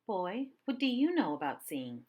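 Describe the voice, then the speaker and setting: A woman voicing a spirited, loving old grandmother in a storybook, folksy and emphatic as she gently scolds. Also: mid-range voice, sing-song, normal speed. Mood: brisk, wise.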